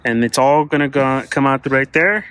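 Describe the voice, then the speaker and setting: A man's voice: mid-range voice, moderate pace, a bit bright, smooth. A middle-aged man chatting informally and crudely as he works with his hands. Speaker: host of an off-road vehicle install channel. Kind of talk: casual hands-on chat while working. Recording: music playing behind the voice. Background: music.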